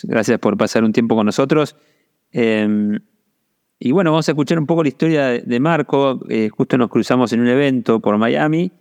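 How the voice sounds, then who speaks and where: A man hosting a casual podcast interview, speaking in a somewhat halting, hesitant way. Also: lowish voice, darkish tone, clear, resonant tone.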